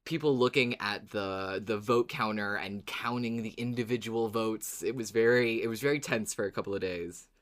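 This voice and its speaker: Informal, halting podcast co-host. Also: mid-range voice. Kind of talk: casual fan podcast chat.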